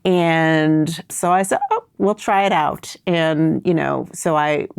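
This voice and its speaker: A woman's voice: low voice, takes it slowly, vocal fry, smooth, no room sound, studio quality. A middle-aged woman raised in New York, answering interview questions in a thoughtful, winding storytelling way. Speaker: podcast guest.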